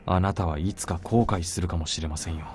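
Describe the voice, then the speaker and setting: A man acting a very fast, low-voiced brooding soldier. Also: steady tone, over music, close to an everyday voice. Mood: grave warning. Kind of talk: Japanese visual novel voice acting.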